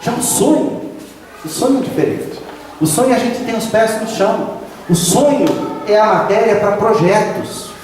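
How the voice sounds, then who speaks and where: Pastor booming in a hall. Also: male voice, expressive, moderate pace, darkish tone, a little hiss or hum. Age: 50s. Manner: emphatic, drawing out key phrases and rising to exclamations. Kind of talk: sermon. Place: church sanctuary. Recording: echoey hall from a distant mic.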